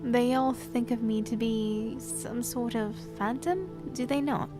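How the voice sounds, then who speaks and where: An actress playing a reclusive queen who speaks in a measured, regal way. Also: a little high, steady tone, over music. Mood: guarded. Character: reclusive young queen, guarded and weary. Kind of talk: audio drama acting.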